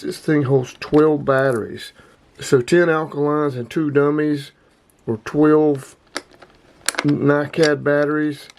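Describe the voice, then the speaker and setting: Male hobbyist host, informal and plain-spoken, medium pitch, talking through a casual gear review. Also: a little mellow, slight background noise. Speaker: host of a radio hobby channel.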